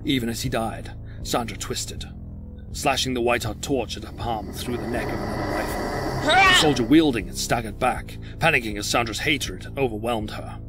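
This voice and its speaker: Male action narrator over loud music. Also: medium pitch. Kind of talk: dramatic scripted action narration. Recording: loud music and gunfire behind.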